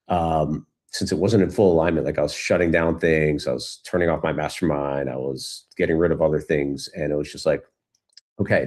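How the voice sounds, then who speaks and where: A man's voice: very deep voice, a little mellow, breathy edge. A middle-aged man talking casually as an interview guest, telling stories in a rambling way and often restarting his phrases. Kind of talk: casual conversational storytelling.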